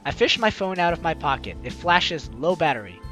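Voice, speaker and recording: A man with a high voice that swings widely in pitch reads a game story aloud. He is playful and theatrical, dropping in his own commentary as host. Light music plays behind him. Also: brisk. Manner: animated, playful, dramatic reading. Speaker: let's play host.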